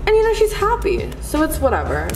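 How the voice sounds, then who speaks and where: A young woman talks in a teasing, playful way, her pitch swinging widely. Music plays clearly in the background of her home room. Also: huge pitch swings, a little fast. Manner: teasing, playful, quotes her partner in put-on voices. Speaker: co-host. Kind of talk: casual chat while unboxing.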